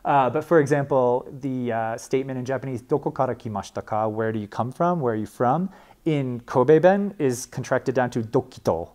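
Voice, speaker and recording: A man's voice: medium pitch, brisk, darkish tone. A young man, a librarian, teaching a class in a casual, chatty way with frequent fillers and restarts. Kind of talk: informal classroom talk.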